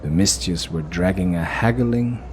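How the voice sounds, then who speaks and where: A man narrating a fantasy audio drama in a measured, unhurried storytelling manner. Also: sits low, a little hiss or hum.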